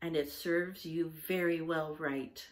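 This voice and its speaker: A woman's voice: low voice, fairly even intonation, slow. A woman voicing an exasperated young stepmother of twenty, scolding and fretful.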